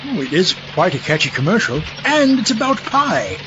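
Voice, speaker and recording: A man's voice: sits high, sing-song, moderate pace. A man playing a giddy, childish and reckless mad scientist with theatrical petulance in a comic audio drama. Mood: giddy excitement. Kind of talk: comedic audio drama acting. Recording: dull audio, noisy vehicle ambience.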